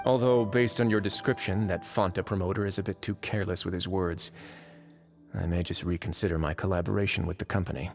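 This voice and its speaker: Low-voiced, suave game duke. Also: male voice, steady tone, brisk, gravelly, long reverb tail, soft background music. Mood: cool, taunting. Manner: dry, wry, composed. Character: suave, unflappable adult duke running a fortress, teasing. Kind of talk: video game voice acting.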